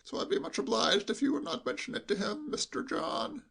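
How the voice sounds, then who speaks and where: A man reading a story aloud and voicing a timid old male butler with a high, bleating, quavering voice and a slow, deferential, hushed way of talking. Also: lively intonation, gravelly, slightly rough, exaggerated voice. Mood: timid, confiding.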